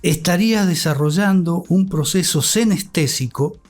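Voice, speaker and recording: An older man with an Argentine accent giving a reflective interview, articulate, with thoughtful halting pauses. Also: high-pitched, soft background music. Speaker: interview guest, radio academic.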